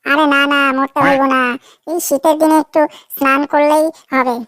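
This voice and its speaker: A woman's voice: sits high, level tone, normal speed, fairly bright tone, no room sound, studio quality. A woman voicing a coy, demanding young girlfriend in a Bengali comedy cartoon, whiny and pleading, often repeating herself.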